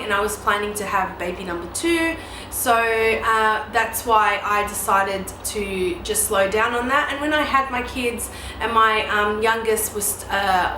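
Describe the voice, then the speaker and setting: A woman in her mid-thirties, a photographer answering viewer questions in a casual, chatty way with many ums and you-knows. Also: mid-range voice. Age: 30s. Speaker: newborn photographer and educator. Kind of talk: casual Q&A vlog. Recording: echoey room with steady background noise.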